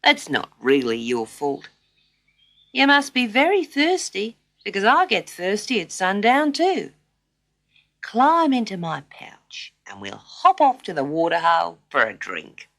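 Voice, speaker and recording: Woman acting a motherly storybook kangaroo, gentle, chatty, matter-of-fact, very lively intonation, unhurried. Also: medium pitch. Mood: kindly reassuring.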